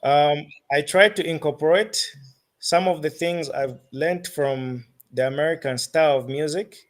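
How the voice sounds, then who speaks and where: A man with a Zambian accent answering interview questions as a musician, phrasing his thoughts deliberately and haltingly. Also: on the high side.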